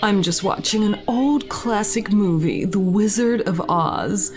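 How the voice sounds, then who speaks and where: A woman's voice: medium pitch, measured pace, over music. A woman hosting a creepy story show, speaking in a playful, theatrical, drawling way with dramatic flourishes. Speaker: host of a creepy story podcast. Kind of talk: theatrical horror-host narration.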